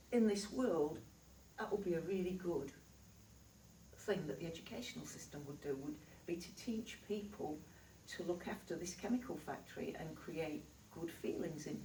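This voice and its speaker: A woman with a Yorkshire accent speaks to an audience in a chatty, reassuring way. Her voice sits a little low and rings around a large reverberant hall, heard from some distance, with faint room noise behind. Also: mellow. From Yorkshire, England. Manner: chatty, reassuring, laughs easily. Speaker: spiritual healer. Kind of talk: live stage talk to an audience. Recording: echoey hall recorded from afar.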